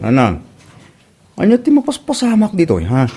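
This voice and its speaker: A man's voice: high-pitched, wildly swooping pitch, normal speed, dark tone, breathy edge, full character voice. A man playing an exasperated grown son in a family comedy drama, questioning others in an Ilocano accent. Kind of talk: radio-style comedy drama acting.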